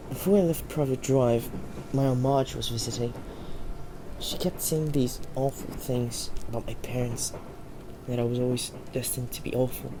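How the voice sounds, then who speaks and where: A man's voice: mid-range voice, noisy background, lightly acted. A man playing a troubled, earnest teenage wizard boy in an audio drama.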